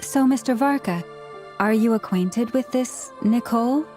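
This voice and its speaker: An actress playing a courteous, purposeful young female adventurer in a video game, speaking in a polite, measured way. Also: a little high, darkish tone, soft background music, close to an everyday voice. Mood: composed.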